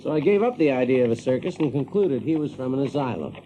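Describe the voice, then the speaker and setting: A man's voice: medium pitch, fast, a little hiss or hum, close to an everyday voice, rough recording. An actor playing a brash, practical Yankee factory man who tells his tale in a folksy, chuckling way for an audio drama. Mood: wry, puzzled. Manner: folksy, chuckling, animated, drawling emphasis. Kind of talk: audio drama first-person storytelling.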